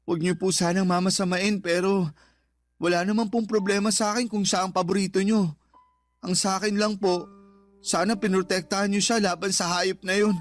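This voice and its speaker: Man acting earnest high-voiced Filipino older brother. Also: level tone, moderate pace, dry recording, faint music behind, close to an everyday voice. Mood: hurt, forgiving. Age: young adult. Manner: earnest, emotional, respectful. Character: earnest, protective grown son and older brother. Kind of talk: Filipino radio drama acting.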